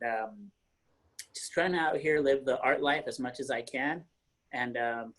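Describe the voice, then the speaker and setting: A young man from Northern Colorado, a painter and muralist, introducing himself on a panel in a relaxed way, often pausing to find his words. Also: mid-range voice, rough recording. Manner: relaxed, searching for words with many fillers. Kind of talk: casual self-introduction on a panel.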